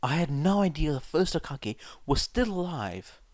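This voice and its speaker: A brash teenage boy in a story reading, acted out by a man. The voice jumps well above a grown man's register and comes out loud and indignant. He blurts and stammers, clueless and easily outraged. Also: a little high, very animated, normal speed, no room sound, clean studio sound, voice pushed up. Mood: shocked.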